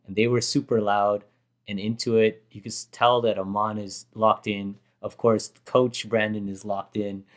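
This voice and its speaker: A hoarse man chatting casually, rambling and correcting himself as he recalls a performance. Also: on the low side, little pitch movement, normal speed. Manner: rambling, self-correcting storyteller. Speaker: rapper reacting to his own performance. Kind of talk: casual chat.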